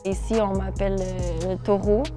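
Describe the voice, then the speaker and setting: A woman in her twenties from Quebec with a Québécois accent, a footballer chatting casually to the camera with relaxed fillers. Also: medium pitch, moderate pace. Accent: Québécois French. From Quebec, Canada. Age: 20s. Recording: music playing behind.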